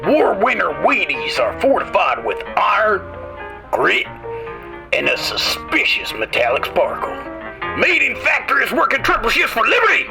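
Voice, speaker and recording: A man's voice: high voice, huge pitch swings, moderate pace, creaky, over music, full character voice, rough recording. A man voicing a patriotic 1940s cereal announcer in a radio ad parody, with a punchy announcer delivery. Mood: rousing. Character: brash male 1940s wartime cereal announcer.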